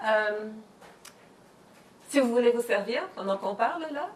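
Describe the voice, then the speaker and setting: A woman hosting a dinner-table interview in a home, speaking slowly and carefully as she searches for words. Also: on the high side, lively intonation, a little hiss or hum. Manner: slow and measured, searching for words. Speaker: host. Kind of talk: hosted interview.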